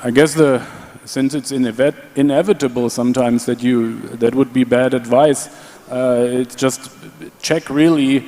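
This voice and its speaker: Panel guy, low mellow voice, deadpan jokes, hall reverb. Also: normal speed. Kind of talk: conference panel discussion. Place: large conference hall.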